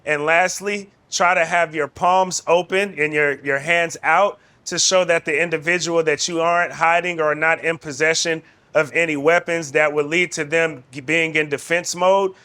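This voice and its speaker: A man's voice: a little high, steady tone, moderate pace, sharp tone, smooth. A man giving instructional narration as a de-escalation trainer, explaining procedure step by step.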